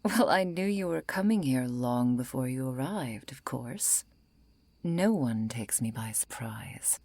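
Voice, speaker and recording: A low-voiced, century-old vampire woman, played by an actress in an intimate ASMR roleplay. She speaks slowly and softly, slipping toward whispers. She is composed and wry, with polite menace and a trace of loneliness. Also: lively intonation, heavily acted. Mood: wry, menacing. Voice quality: soft, breathy, often falling into whisper.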